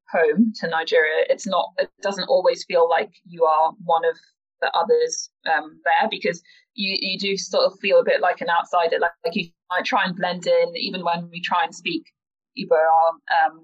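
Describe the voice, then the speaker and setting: A woman's voice: lowish voice, flat delivery, moderate pace, dull audio. A woman talking casually as a guest in a podcast interview. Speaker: interview guest, company founder.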